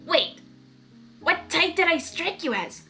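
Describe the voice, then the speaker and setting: Woman putting on a brash, high-pitched sporty pony girl. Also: animated, slow pace, sharp tone, faint music behind, heavily acted. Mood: teasing, curious. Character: brash, sporty young female pegasus pony.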